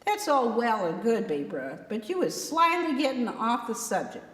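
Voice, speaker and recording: Woman as a teasing, high-pitched teenage boy. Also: sing-song, moderate pace, fairly bright tone. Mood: goading. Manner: needling, folksy rural dialect. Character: teasing, excitable teenage boy egging on his friend. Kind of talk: acted reading of a historical novel. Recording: echoey room with long reverb.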